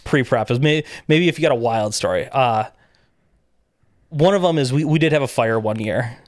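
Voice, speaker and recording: Man telling a lively story on a podcast. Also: medium pitch, moderate pace, no room sound, studio quality. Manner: lively anecdotes, acting out quoted exchanges with laughs. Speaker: podcast guest, robotics event coordinator. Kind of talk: casual storytelling chat.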